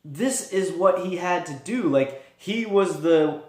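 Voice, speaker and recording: High-voiced man vlogging in echoey room. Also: slow pace, a little mellow. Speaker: tabletop gaming vlogger. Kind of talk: casual, rambling vlog talk.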